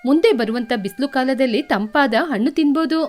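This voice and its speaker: A woman voicing a kind, patient mother sparrow in a children's cartoon, explaining things gently. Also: sits high, brisk, soft background music, natural voice. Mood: patient, welcoming.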